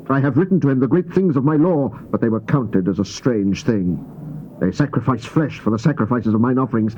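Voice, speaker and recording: A muffled, slightly noisy old tape carries a man acting a stern prophet of medium pitch. He declaims King James scripture as the voice of a wronged, grieving God, solemn and theatrical in his delivery. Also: mellow, natural voice.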